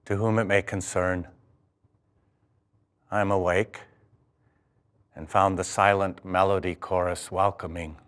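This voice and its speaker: A man giving a measured, grave spoken-word recitation. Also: low-pitched, flat delivery, a bit bright, clean tone. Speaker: performer reading writing aloud. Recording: music behind the voice.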